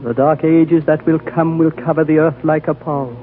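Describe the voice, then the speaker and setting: An actor playing an ancient, wise and gentle high lama with a solemn, fatherly, oratorical manner, in the style of 1940s radio drama. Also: level tone, normal speed, slight background noise, lightly acted. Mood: prophetic, solemn. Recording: tinny 1940s radio recording over music.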